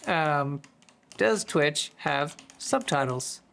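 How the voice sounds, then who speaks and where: A streamer with a voice that is going hoarse, chatting casually to viewers in a distracted, rambling way. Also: mid-range voice, lively intonation, extremely slow, fairly bright tone. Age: adult, working full-time. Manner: easily distracted, rambling with many restarts. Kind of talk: casual live-stream chat.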